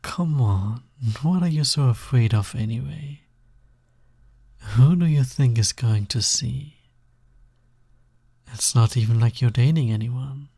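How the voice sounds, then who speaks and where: A man voicing a flirty, pushy naga snake demon roommate in an intimate audio roleplay, coaxing and casual with a breathy, husky delivery. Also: mid-range voice, warm tone, no room sound, clean studio sound, heavily acted.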